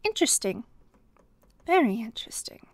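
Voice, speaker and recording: An obsessed female detective, acted by a woman in an intimate ASMR roleplay, toying with a captive. Her voice is rather high yet breathy, with creaky edges, and she pauses deliberately as she teases. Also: very animated. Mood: sly, probing. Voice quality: breathy, whispery. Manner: slow, deliberate, teasing, pausing.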